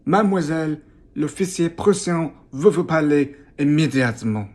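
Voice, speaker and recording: A woman's voice: low voice, animated, moderate pace, a little mellow. A woman playing a businesslike, indifferent innkeeper passing on orders in an amateur radio play. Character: matter-of-fact innkeeper bearing an officer's orders.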